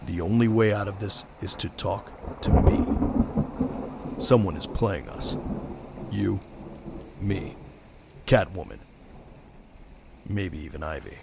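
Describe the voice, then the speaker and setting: A man playing a brooding, stern vigilante detective in an audio drama, terse and grim with a gravelly voice. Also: low-pitched, slow pace, pauses often, noisy background, heavily acted. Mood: commanding. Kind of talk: audio drama narration and dialogue.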